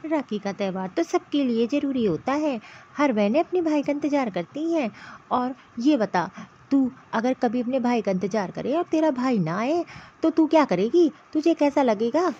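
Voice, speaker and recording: A woman's voice: sits high, expressive, normal speed, hardly any pauses, warm tone, slight background noise. A woman voicing a protective middle-aged mother-in-law in a cartoon family story, reproachful and insistent, piling up pointed questions. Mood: indignant, scolding. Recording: dull, lo-fi cartoon voice track.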